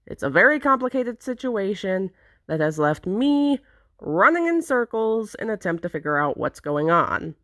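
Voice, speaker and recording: A woman narrating a script to viewers in a conversational, theatrical way with deliberate emphasis. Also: lowish voice, a bit bright, no room sound, studio quality. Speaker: narrator presenting own fan project.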